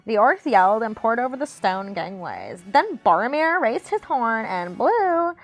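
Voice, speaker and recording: A woman's voice: a little high, very animated, measured pace, full character voice. A woman voicing a ditzy dumb blonde who dramatically reads an epic fantasy storybook aloud. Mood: ditzy excited. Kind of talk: fantasy storybook reading in a put-on voice.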